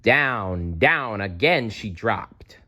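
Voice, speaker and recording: A man reading a children's storybook aloud as narrator, in a dramatic, deliberate way. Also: lowish voice, animated, bright, forceful tone. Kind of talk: expressive children's read-aloud.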